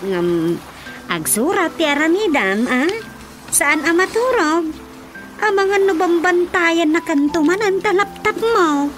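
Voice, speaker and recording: Slow, high-voiced Ilocano village woman. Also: lively intonation, exaggerated voice. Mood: gently nagging. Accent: Filipino, Ilocano. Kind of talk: radio drama comedy acting. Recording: loud music bed under voice.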